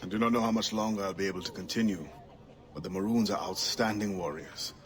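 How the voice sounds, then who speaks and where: An actor playing a proud, guarded assassin who was once enslaved, speaking in a grave, formal, wary way in a video game cutscene. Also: male voice, low voice, quick pace, lightly acted. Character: proud, guarded freed-slave assassin, adult man.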